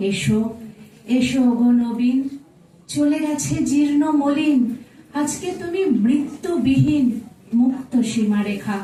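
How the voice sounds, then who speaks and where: A woman recites poetry in a slow, formal, declamatory way. Her voice is soft-edged and mellow. It rings heavily in the room. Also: sits high. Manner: measured, declamatory. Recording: very echoey room, distant mic, noisy.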